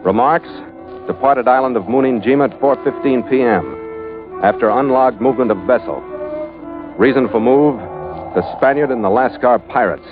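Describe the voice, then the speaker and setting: A man's voice: mid-range voice, normal speed, lightly acted. An American man acting a tough, weathered ship's skipper in a 1940s radio drama, narrating his log in a hard-boiled, clipped way. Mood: clipped, businesslike. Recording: tinny old radio recording, music behind.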